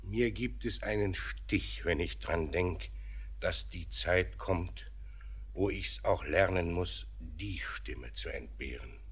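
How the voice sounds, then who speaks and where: Mine master and father, low and husky, reflective and melancholy. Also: exaggerated voice. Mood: wistful. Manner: measured, reflective. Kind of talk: poetic German radio play acting.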